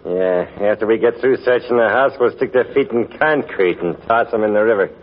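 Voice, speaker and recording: A man's voice: fairly low pitch, brisk, close to an everyday voice. An actor playing a dim, gloating gangster henchman with slangy tough talk in a 1940s radio drama. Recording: dull vintage 1940s radio recording.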